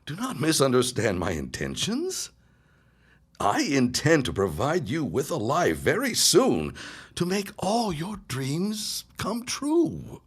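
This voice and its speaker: A man's voice: a little high, huge pitch swings, slow, husky, exaggerated voice. An actor playing an arrogant, scheming 1910s businessman with haughty, formal diction in an audio drama. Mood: placating. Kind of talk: period audio drama acting.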